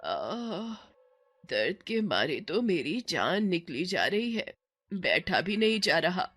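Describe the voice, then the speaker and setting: A woman voicing a scheming middle-aged village mother-in-law who whines and complains in drawn-out melodrama. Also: on the low side, big pitch swings, fairly bright tone, breathy edge, dry recording, exaggerated voice. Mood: pitiful moaning.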